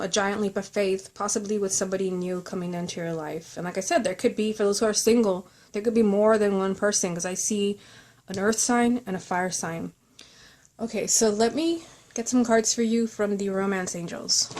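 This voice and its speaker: Woman tarot reader, mid pitch voice, talking straight to you, earnest and thoughtful, informal card reading. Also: darkish tone.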